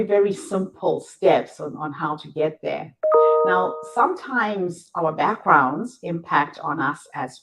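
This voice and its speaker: Host whose first language is Shona. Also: female voice, low-pitched, rough recording. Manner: warm praise, careful slow questions. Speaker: interview host. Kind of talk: online summit interview.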